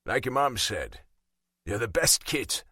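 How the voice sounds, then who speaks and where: A man voicing a gruff, affectionate ageing father in an audiobook. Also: medium pitch, very animated, measured pace, bright, forceful tone, dry recording, clean studio sound, heavily acted. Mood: gruff, reassuring.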